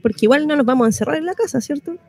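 A woman's voice: high voice, expressive, rapid-fire, darkish tone. A Chilean woman hosting a radio show in a colloquial, chatty style. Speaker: radio host. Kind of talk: casual radio chat.

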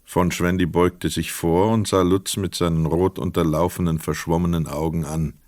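A man narrating a literary radio play from a script in a measured, precise storytelling manner. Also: low-pitched, steady tone, a little mellow, no echo, clean studio sound.

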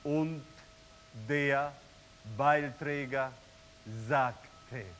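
Very slow, echoing announcer. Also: male voice, fairly high pitch, steady tone, a bit bright. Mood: detached. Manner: halting, word by word. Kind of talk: slow announcement of numbers and text. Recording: hissy, long hall reverb, distant.

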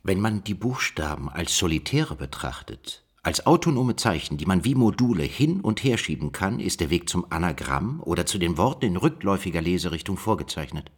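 Male code-breaker, radio play, low husky voice, inquisitive, sceptical, thinking aloud. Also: big pitch swings, a little fast, hardly any pauses, exaggerated voice. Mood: sceptical, reasoning. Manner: questioning, reasoning aloud. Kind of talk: German radio play dialogue.

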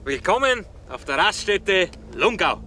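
A rather high-pitched Austrian man as the dutiful sidekick to a hijacker. He talks matter-of-factly in radio drama style, with clear outdoor vehicle noise around him. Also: big pitch swings, measured pace, bright, forceful tone, heavily acted. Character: compliant, practical-minded male accomplice of a hijacker. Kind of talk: radio drama acting, conversational. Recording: noisy outdoor car-park ambience.

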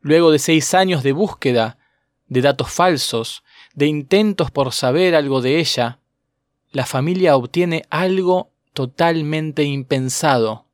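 A man's voice: on the high side, measured pace, no room sound, clean studio sound. A man reading scripted narration for an audio documentary. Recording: music bed under voice. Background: music.

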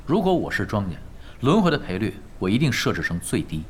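A man's voice: fairly low pitch, very animated, brisk, a little hiss or hum. A man playing a laid-back, shrewd gamer in an audio drama, with a teasing, matter-of-fact manner. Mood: calm, assured. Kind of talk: audio drama acting in dialogue.

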